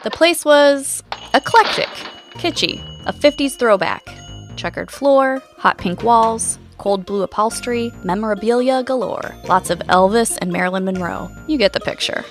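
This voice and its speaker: An actress playing an eager, curious radio host who investigates mysteries, in audio drama dialogue and first-person narration. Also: female voice, fairly high pitch, animated, moderate pace, soft background music. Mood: measured storytelling.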